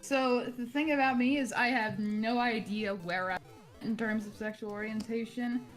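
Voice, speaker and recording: A young woman, chatting casually as a livestream guest in a hesitant, halting, self-deprecating way. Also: fairly high pitch, fairly even intonation, faint music behind, rough recording. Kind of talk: casual chat.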